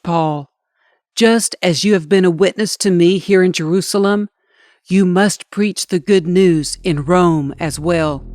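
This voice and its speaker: A woman's voice: on the low side, a little mellow. A woman reading scripture aloud as a scripted audiobook narration. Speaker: scripture reader.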